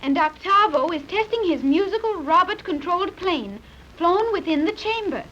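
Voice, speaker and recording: An actress playing Joan, a polite, earnest daughter, in a 1930s radio serial. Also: female voice, extremely high pitch, expressive, normal speed, fairly bright tone. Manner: polite, formal. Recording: muffled old radio recording, faint hiss.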